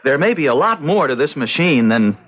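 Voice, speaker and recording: A man's voice: on the high side, very animated, a little fast. An actor playing an intense, insistent young American man who tinkers with computers, earnest and emphatic, in an old-time radio drama. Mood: reassuring, brisk. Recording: muffled vintage radio sound, faint noise.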